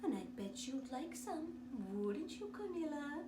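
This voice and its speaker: Woman playing kindly old lady, storybook character, sweet and knowing, lilting pitch swings, roomy distant sound. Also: sing-song, unhurried. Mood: gentle, knowing. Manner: sweet, musing, repeats words and hums. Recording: roomy, distant mic, noisy background.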